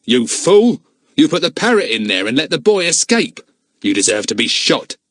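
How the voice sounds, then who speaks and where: A man's voice: fairly high pitch, very animated, moderate pace, fairly bright tone, dry recording, studio quality, voice pushed up. Man as a fierce, bitter crook, snapping. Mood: furious. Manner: snapping, accusing. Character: fierce, bitter, hot-tempered male crook.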